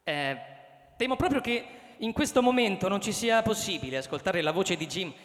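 A man's voice: high-pitched, animated, fairly bright tone. An actor playing a slick, gushing male game-show host in a radio drama, hyping to viewers with folksy asides. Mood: smooth reassurance. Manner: breathless hype, drawn-out words, folksy asides to viewers. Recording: long hall reverb, music behind.

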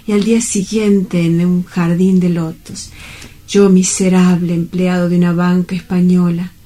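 Woman as a mellow, slow-spoken lovelorn young clerk. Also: lowish voice. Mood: rapturous. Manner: wistful, poetic, earnest. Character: lovelorn, dreamy young male bank clerk. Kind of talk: dramatic radio-play monologue.

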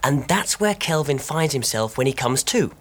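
Radio drama: amateur investigator, young husband, mid-pitched breathy voice, logical and deliberate. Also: male voice, animated, a bit bright. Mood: reasoning. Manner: reasoned, deliberate, well-spoken.

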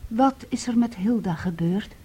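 A woman's voice: medium pitch, measured pace, dark tone, husky. An actress playing a guarded, anguished woman in a radio play, speaking hesitantly and with restraint. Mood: anxious, tender. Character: subdued, guarded, anguished woman, quietly protective.

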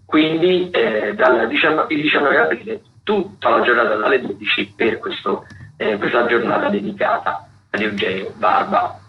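A man's voice: mid-range voice, moderate pace. A man presenting theatre listings on radio in a semi-improvised, stop-and-start way, often repeating words. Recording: muffled, noisy community radio broadcast.

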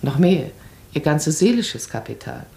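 Self-assured baroness with swooping intonation. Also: female voice, low voice, sing-song, unhurried, gravelly, warm tone, full character voice. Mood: insistent, impassioned. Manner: decisive, eloquent, emphatic. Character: self-assured, perceptive aristocratic baroness, firm and eloquent wife. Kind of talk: radio play acting.

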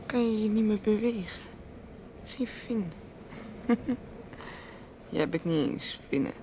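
A woman's voice: fairly low pitch, noisy background. Dreamy, teasing woman in a radio play. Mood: musing. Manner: musing, repetitive, breaks into soft laughs. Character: dreamy, rambling, teasing woman on an island at night. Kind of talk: Dutch radio play acting.

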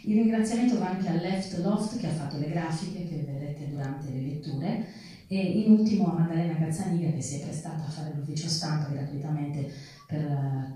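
A low, mellow-voiced woman speaks as host of a stage event. She is heard from a distance in a very echoey theatre. Her phrasing is measured and articulate. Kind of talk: spoken introduction and thanks to an audience.

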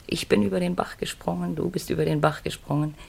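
An actress in a 1950s German radio play voicing an earnest, wistful middle-aged mother on the run, speaking in measured, pleading short phrases. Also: fairly low pitch, fairly even intonation, creaky, a little mellow, slightly rough, full character voice. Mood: gently coaxing. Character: Marianne, earnest, wistful fugitive mother of grown children.

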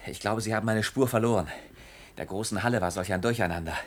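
A man's voice: lowish voice, quick pace, reverberant, big-room sound, natural voice. Actor as urgent fugitive man in radio drama. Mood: breathless urgency. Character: desperate fugitive husband, impassioned whistleblower. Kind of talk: German radio play acting.

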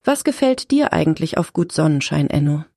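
An actress playing a direct, probing interviewer in a satirical radio play. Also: female voice, low-pitched, very animated, brisk, a little mellow, slight background noise.

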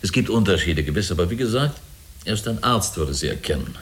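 A very deep-voiced, assured company salesman. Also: lively intonation, fairly quick. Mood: assured, explanatory. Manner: smooth, explanatory, self-assured. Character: assured male company representative selling humanlike androids. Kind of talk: German radio drama acting.

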